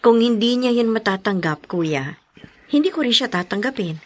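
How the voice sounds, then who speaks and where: A woman's voice: a little high, expressive, normal speed, a little mellow. A young woman acting as an earnest, self-sacrificing single mother in a Filipino radio drama, speaking respectfully and hesitantly. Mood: resolute.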